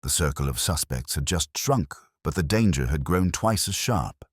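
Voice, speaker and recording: A man's voice: extremely low pitch, lively intonation, moderate pace, slightly rough, no room sound, studio quality. A man narrating a hardboiled first-person detective story in the manner of an audiobook.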